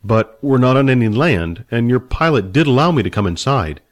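A man's voice: mid-range voice, lively intonation, a little fast, lightly acted. A man doing an earnest young barbarian. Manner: plain, earnest and straightforward. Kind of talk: storybook reading.

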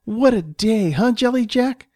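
A man voicing an excitable, sweet little boy in a storybook, with an eager, squealing manner. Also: extremely high pitch, a little slow, no room sound, studio quality, voice pushed up. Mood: cheerful.

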